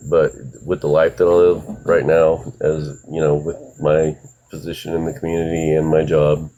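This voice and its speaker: A man's voice: rumbling low, flat delivery, normal speed, mellow, lo-fi sound, a little hiss or hum. A man in his fifties, a father speaking as a guest on a live stream, earnest and reflective. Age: 50s. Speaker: guest, father of the woman discussed. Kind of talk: casual live stream talk.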